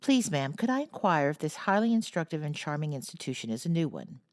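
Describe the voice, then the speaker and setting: Polite eager teen boy, courteous and playful, in a lively storybook voice, read by a woman. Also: deep voice, brisk, dry recording, clean studio sound. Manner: courteous, playful, a little meek. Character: young well-mannered teenage boy, eager and polite.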